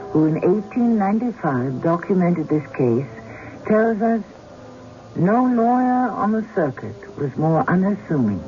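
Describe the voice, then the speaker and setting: A man hosting and narrating a radio drama in a formal, measured, scripted style. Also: on the high side. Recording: dull radio recording, faint noise.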